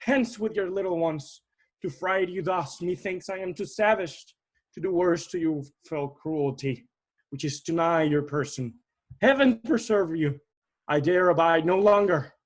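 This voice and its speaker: A man's voice: a little high, unhurried. A man playing an earnest, humble messenger in a Shakespearean audio play, speaking formally. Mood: urgent. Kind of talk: stage-style Shakespearean acting. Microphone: video call recording.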